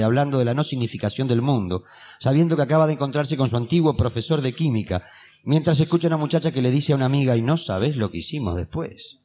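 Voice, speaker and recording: A man's voice: medium pitch, a little mellow. Uruguayan man reciting mock instructions. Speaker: poet performing on stage. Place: theatre stage, 1980s cassette taken from the mixing desk.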